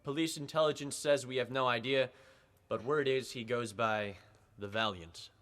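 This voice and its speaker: An actor playing a pompous, testy district attorney making declamatory statements to the press in an old-time radio drama. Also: male voice, medium pitch, fairly even intonation, moderate pace, lightly acted. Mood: solemn. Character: blustering, evasive male district attorney at a press conference.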